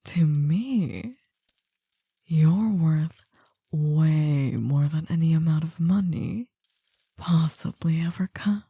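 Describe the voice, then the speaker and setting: A woman playing an older female mafia boss in an intimate ASMR roleplay, possessive, doting and soothing, in a breathy half-whisper. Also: deep voice, dark tone, no room sound, studio quality, heavily acted. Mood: smitten, possessive. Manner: slow, soothing, coaxing.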